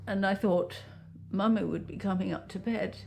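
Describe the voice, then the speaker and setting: A woman's voice: medium pitch, normal speed, gravelly, mellow, faint music behind, close to an everyday voice. A woman playing a bitter, scornful middle-aged aunt with a British accent, acerbic and dramatic, in an interactive mystery film. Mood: haunted, reflective. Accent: British English. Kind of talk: naturalistic screen acting in an interactive mystery film.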